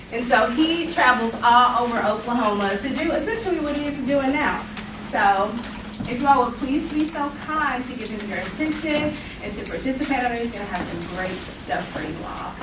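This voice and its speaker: Polite woman introducing a guest speaker. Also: high-pitched. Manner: friendly and polite. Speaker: student program coordinator. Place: lecture room. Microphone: far camera. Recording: echoey room from far off, noisy.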